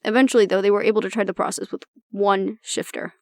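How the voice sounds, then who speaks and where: A woman's voice: mid-range voice, fairly even intonation, fast talker, darkish tone, no echo, studio quality, lightly acted. A woman acting in an audio roleplay as an exasperated, blunt agent, talking intimately and sardonically to the listener. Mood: reflective, explaining. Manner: blunt, sardonic, conversational, swearing. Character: exasperated woman agent venting about her stubborn, disapproving father.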